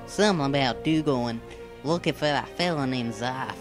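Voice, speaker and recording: A woman voicing an anxious, simple young male rancher in an animated fantasy series, with folksy, pleading speech. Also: a bass voice, moderate pace. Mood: nervous. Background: music.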